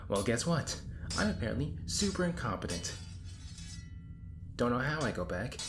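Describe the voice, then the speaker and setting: A man's voice: fairly low pitch, close to an everyday voice. A man playing an exasperated, sarcastic hero in an audio roleplay, rambling in casual modern phrasing. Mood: hurt, sarcastic. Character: exasperated, sarcastic young hero stuck in time loops. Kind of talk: audio roleplay acting, conversational monologue. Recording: roomy echo, clear background noise. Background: designed ambience.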